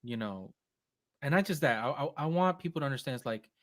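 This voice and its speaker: Animated man chatting about drumming. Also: medium pitch, dry recording, studio quality. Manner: animated, fast-talking, slips into Spanish phrases. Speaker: percussionist guest. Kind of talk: casual live chat.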